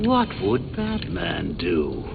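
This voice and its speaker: A man's voice: low voice, huge pitch swings, very slow, creaky, phone-quality sound, heavy background noise, exaggerated voice. A man voicing a manic, mocking male clown villain in a video game, cackling and swinging his delivery wildly. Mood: taunting. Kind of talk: game voice lines.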